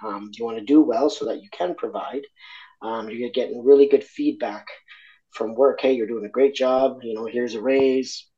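A man from Argentina speaking candidly as an interview guest, reflective and halting, with many fillers and restarts. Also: medium pitch, fairly even intonation, dark tone, lo-fi sound.

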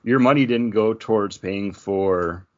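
A man with a fairly low voice talks casually as a podcast co-host, arguing his side in easy conversation. The recording is a bit dull and lo-fi. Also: a little slow. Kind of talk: casual chat, good-natured debate. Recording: dull lo-fi audio.

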